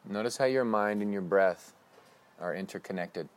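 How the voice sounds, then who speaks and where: Calm low-voiced man guiding meditation. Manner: slow, calm, instructive cues. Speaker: meditation guide. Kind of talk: guided breathing meditation.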